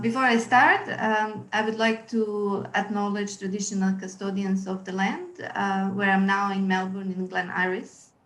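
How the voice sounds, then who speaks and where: Woman curator, forties, hesitant with many ums, echoey room on a video call. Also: mid-range voice. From Yugoslavia. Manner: hesitant, with frequent ums and uhs. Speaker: curator giving a presentation. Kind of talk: webinar presentation, partly read. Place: room at home.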